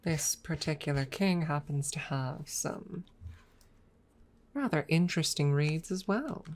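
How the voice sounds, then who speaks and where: A woman voicing a proud, possessive female dragon who teases a rival in a haughty, deliberate manner, in an intimate ASMR audio roleplay. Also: sits low, slight background noise. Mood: teasing. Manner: haughty, teasing, deliberate with pauses.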